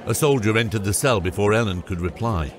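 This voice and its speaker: Low-voiced male fantasy audiobook narrator over music. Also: animated, brisk. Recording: loud music under the voice.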